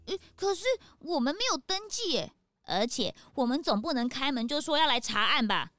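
Woman playing an eager, high-voiced child sidekick. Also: animated, normal speed, bright, forceful tone, no echo, studio quality. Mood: worried. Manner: childlike, hesitant, exclamatory. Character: eager, naive young assistant detective. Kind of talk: children's audio drama acting.

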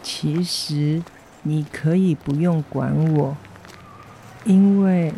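A woman with a Taiwanese accent playing a gentle, apologetic mother recovering from surgery, speaking tenderly in an educational radio drama. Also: low voice, drawn-out words, a little hiss or hum, heavily acted, low-quality recording. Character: gentle, apologetic convalescing mother of a teenage boy. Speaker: amateur voice actor, schoolteacher.

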